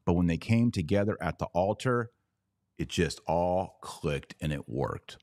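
Deep-voiced man hosting an emphatic podcast. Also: expressive, a little slow, darkish tone, no room sound, clean studio sound. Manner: emphatic, opinionated, rhetorical questions. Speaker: podcast host. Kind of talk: live-stream podcast commentary reading viewer comments.

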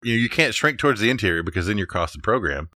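Man, deep voice, bright and forceful tone, dry sound, informal podcast conversation. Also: lively intonation, racing, dry recording. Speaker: podcast co-host, architecture teacher. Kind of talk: casual conversational podcast talk.

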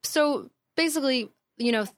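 A woman's voice: high voice, moderate pace, dry recording. A young woman talking casually as a podcast guest, chatty, with lots of fillers and laughs. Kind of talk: casual conversation.

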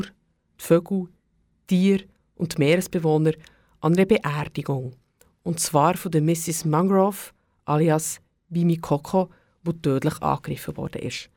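Female radio presenter, gravelly edge, dry studio sound, introducing plays. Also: lowish voice, dry recording. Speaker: radio host.

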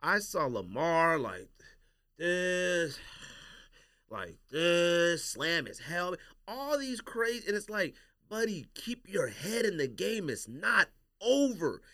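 A man's voice: sits high, sing-song, slow, bright, forceful tone, no echo. An American man hosting a casual sports podcast, giving emphatic, opinionated takes and repeating his points for emphasis.

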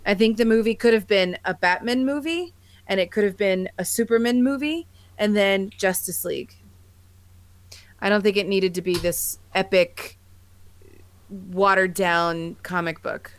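A woman's voice: medium pitch, unhurried. An American woman co-hosting a podcast in casual chat, speaking in an enthusiastic, gushing way. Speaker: podcast co-host. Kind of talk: casual chat about sci-fi and comics.

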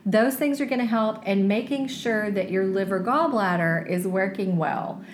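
A woman in her forties co-hosting a casual health podcast, chatty and quick to laugh. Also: medium pitch, a little hiss or hum. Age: 40s. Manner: chatty, laughs easily, talks through advice. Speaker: podcast co-host. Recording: echoey room.

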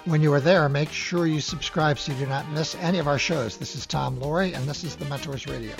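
A man hosting a radio interview show, talking in a conversational way with halting restarts. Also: on the high side, vocal fry, music in the background.

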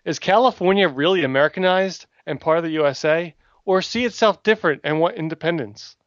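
A man with a fairly high voice throws in quick, dry wisecracks during a casual comedy chat. The audio is muffled and lo-fi, recorded close and dry with no room echo. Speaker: co-host.

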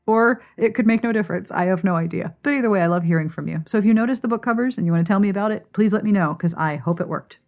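A woman's voice: medium pitch, fast, hardly any pauses, darkish tone. A woman hosting a podcast in an informal, playful, conversational way. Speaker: podcast host. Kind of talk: chatty podcast hosting and sign-off.

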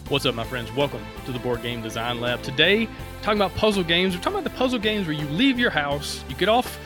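A man's voice: fairly high pitch, sing-song, quick pace, music in the background. A man in his thirties with a Southern American accent, hosting a casual interview chat in an upbeat, rambling way with easy laughter. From Alabama. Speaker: podcast host.

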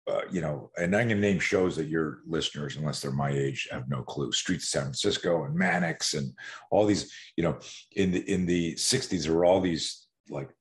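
A man's voice: rumbling low, moderate pace. A man in his sixties from Canada, a podcast guest telling rambling stories with many restarts. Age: 60s. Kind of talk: casual podcast interview.

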